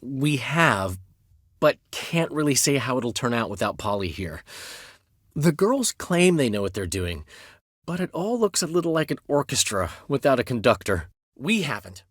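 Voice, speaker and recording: An actor playing a vain, witty middle-aged bard in a video game, speaking theatrically with playful asides. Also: male voice, on the high side, a bit bright, no echo, clean studio sound, full character voice. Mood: gossipy. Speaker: game character and epilogue narrator.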